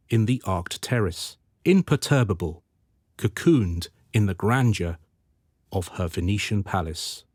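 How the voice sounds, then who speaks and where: A man's voice: lowish voice, no echo, studio quality. A man reading scripted narration for a radio drama.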